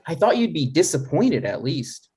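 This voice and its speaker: A man's voice: on the high side, sing-song, moderate pace, warm tone, husky, heavily acted. A man playing a defensive, scheming thirty-six-year-old son in a live comedy table read. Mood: baffled. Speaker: writer and actor.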